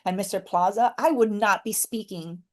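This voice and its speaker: A woman's voice: mid-range voice, normal speed. Earnest, encouraging woman speaker. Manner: earnest, encouraging, emphatic with repeated phrases. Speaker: podcast guest, motivational speaker. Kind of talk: heartfelt conversational interview.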